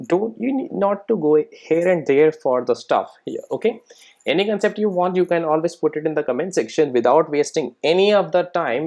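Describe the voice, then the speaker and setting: A man's voice: on the high side, a little mellow. A man presenting an informal screencast coding tutorial, explaining conversationally and often checking in with short tag questions. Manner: conversational, explanatory, often tagging sentences with "right?" and "okay?".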